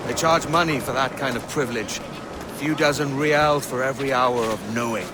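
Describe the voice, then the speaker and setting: A man's voice: medium pitch, normal speed, noisy background, natural voice. A man acting a roguish young pirate sailor in a video game, with a Welsh accent and a breezy, wry, cheeky manner. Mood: wry, easygoing.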